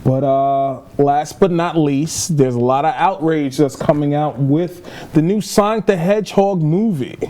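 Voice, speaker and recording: A man's voice: on the high side, measured pace, few pauses, mellow. A man co-hosting a podcast, talking casually about pop culture as an enthusiastic, opinionated fan. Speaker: podcast co-host.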